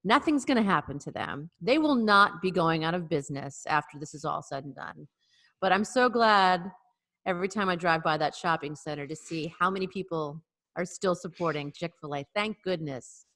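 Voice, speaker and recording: A woman in her forties co-hosting a relaxed video-call chat. She tells a story in a wry, sarcastic way, in a somewhat low voice. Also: normal speed. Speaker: co-host.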